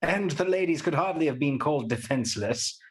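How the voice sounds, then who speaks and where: An actor playing a young outlaw in a radio play, telling the tale in an even way. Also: male voice, a little high, fairly quick, lightly acted.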